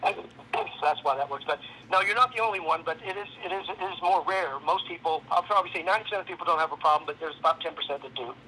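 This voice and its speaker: A man's voice: fairly high pitch, fast talker. A man on a phone call, explaining things conversationally as a program representative. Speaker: legal defense program representative. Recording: phone call with faint background noise.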